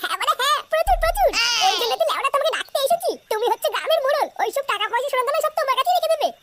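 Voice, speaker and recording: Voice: very high-pitched, lively intonation, rapid-fire, pushed and bright, soft background music, full character voice. A performer voicing a brash, crude, cocky young village prankster in a comic cartoon dub. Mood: coaxing. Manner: cheeky, crude. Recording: very noisy background ambience.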